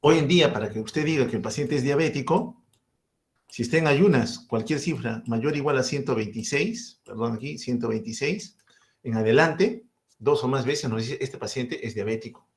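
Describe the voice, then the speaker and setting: A man's voice: mid-range voice, normal speed. A man in his fifties with a Peruvian Spanish accent, giving a medical lecture and asking and answering his own questions. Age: 50s.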